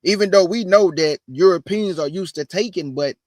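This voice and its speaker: High-voiced preachy man, bone-dry sound. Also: normal speed, a little mellow, no room sound. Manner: preachy, addresses audience as family. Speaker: host. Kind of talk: casual religious commentary.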